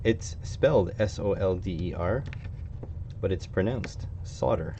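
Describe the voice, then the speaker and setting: Low-voiced Canadian man thinking aloud. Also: measured pace, mellow. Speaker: hobbyist electronics streamer. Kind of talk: casual live stream chat while working. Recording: dull, slightly lo-fi with faint noise.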